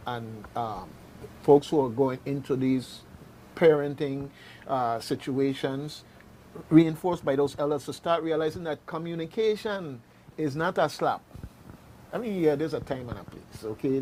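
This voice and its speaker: A man's voice: medium pitch, normal speed, darkish tone, slight background noise. A man talking casually in a panel discussion, emphatic, repeating himself and checking that he is understood.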